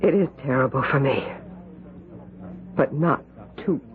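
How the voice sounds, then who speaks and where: An actress playing a cool, sharp-tongued woman who speaks in a deliberate, pointed way, in a radio drama. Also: extremely low pitch, expressive. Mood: cold. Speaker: the wife's sister. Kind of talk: 1970s radio drama acting. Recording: tinny old radio broadcast, faint noise.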